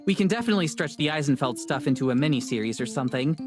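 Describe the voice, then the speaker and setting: A woman voicing an eager young woman video maker in a visual novel, pitching ideas with enthusiasm. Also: lively intonation, rapid-fire. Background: game music.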